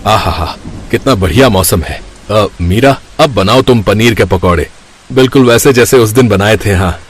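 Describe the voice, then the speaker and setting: Man acting a mild, lowish-voiced family husband. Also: moderate pace, a little hiss or hum, close to an everyday voice. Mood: easygoing. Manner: hesitant, accommodating. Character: mild, worried middle-class husband and deferential younger brother. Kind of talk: scripted Hindi moral-story acting.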